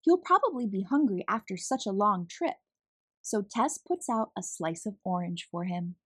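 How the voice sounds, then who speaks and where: A woman reading a children's picture book aloud as an expressive narrator. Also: mid-range voice, moderate pace, no echo, studio quality. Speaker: children's story reader, channel host.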